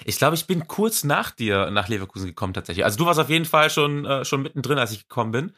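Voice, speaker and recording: A young man from Swabia with a light Swabian accent, a para athlete chatting casually in a podcast interview, thoughtful and relaxed with frequent fillers. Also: medium pitch, fast talker. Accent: light Swabian German. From Swabia, Germany.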